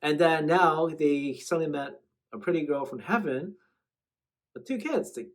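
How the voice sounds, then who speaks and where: A man's voice: a little high, normal speed, low-quality recording. A man hosting casual reaction commentary in a slangy, bantering way. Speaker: host.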